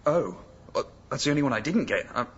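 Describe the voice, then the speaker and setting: A man's voice: mid-range voice, big pitch swings, fast, fairly bright tone, muffled recording, a little hiss or hum. A man playing a nervous, gullible, eager-to-please expectant father in a radio comedy, flustered and stammering. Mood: anxious, eager.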